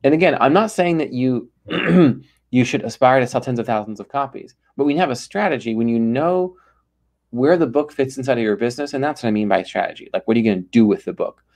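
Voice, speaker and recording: An energetic man talking as an interview guest, his voice at a middle pitch. He speaks with emphasis and acts out short quoted back-and-forths. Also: fairly quick. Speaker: ghostwriter guest. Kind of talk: podcast interview.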